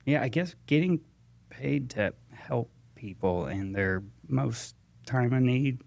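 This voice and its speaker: The American guy with a fry-edged voice on a work podcast, feeling his way through each answer in halting, relaxed conversation. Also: medium pitch. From Texas and Georgia, US. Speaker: guest paramedic.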